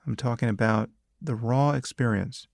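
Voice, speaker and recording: A man leading a guided meditation as a teacher, speaking calmly and deliberately in short phrases with long pauses. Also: medium pitch, gravelly, no room sound, studio quality. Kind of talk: guided meditation, spoken instruction.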